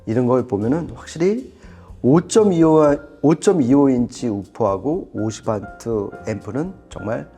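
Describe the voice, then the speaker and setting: Mellow-voiced man explaining audio gear. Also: medium pitch, lively intonation, measured pace, soft background music. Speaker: audio product reviewer on a shop's show. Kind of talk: conversational product commentary.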